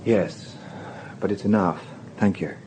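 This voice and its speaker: An actor playing a shrewd, easygoing British police detective in a radio drama, with a husky voice and a dry, probing, conversational manner. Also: male voice, sits low, slow pace, mellow, a little hiss or hum, rough recording. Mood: wry, brisk. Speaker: actor and narrator. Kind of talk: naturalistic radio drama with first-person narration.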